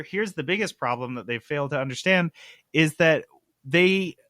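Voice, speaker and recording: Male gaming podcast host, average pitch, dry sound. Casual, emphatic, sardonic, sweary explainer. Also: no room sound. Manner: animated, emphatic, sweary, sarcastic. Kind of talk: casual comic explaining of game industry news.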